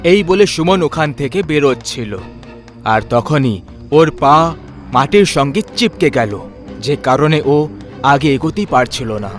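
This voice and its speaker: A man's voice: on the high side, expressive, a little slow, soft background music. A man narrating a scripted horror story as its storyteller.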